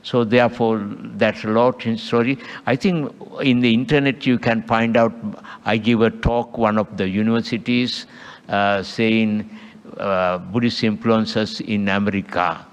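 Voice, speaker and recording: Slow older monk with a Sri Lankan accent. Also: male voice, lowish voice, fairly even intonation, clean tone. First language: Sinhala. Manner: halting, broken English, rhetorical "huh?". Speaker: Buddhist monk giving a dhamma talk. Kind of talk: informal dhamma talk with questions. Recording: long hall reverb.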